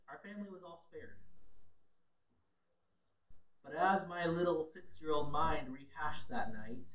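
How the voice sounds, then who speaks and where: A man's voice: fairly high pitch, a bit bright, reverberant, recorded from a distance, lo-fi sound. A man preaching a sermon as pastor, with an emphatic delivery that rises sharply on key points. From Ghana.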